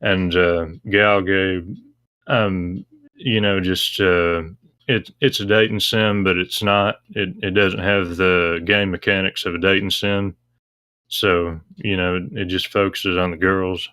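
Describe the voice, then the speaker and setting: A man's voice: sits low, level tone, slow, pushed and bright, clear, resonant tone, no room sound. A man chatting casually as an interview guest, explaining things in a hesitant, rambling way. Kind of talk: casual interview chat about visual novels.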